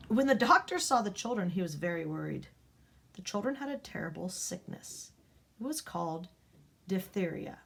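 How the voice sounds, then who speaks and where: Woman storybook reader, unhurried, expressive with dramatic hushes; for a children's read-aloud. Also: on the low side, creaky, slightly rough. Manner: expressive, rising and dropping to a hush for drama. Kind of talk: reading a picture book aloud to children.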